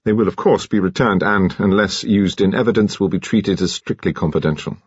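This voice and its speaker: A man's voice: low-pitched, a little fast, slightly rough, no room sound, dull audio. A man voicing an urbane, courteous senior detective with a polite, authoritative, articulate manner in an audiobook. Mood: apologetic, formal.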